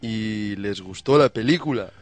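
A man hosting a mock talk-show interview in a measured, mock-formal manner. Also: lowish voice, faint music behind. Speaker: host.

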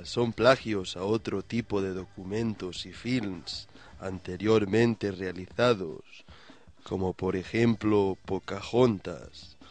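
A man hosting a mock talk-show interview in a measured, mock-formal manner. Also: lowish voice. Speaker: host.

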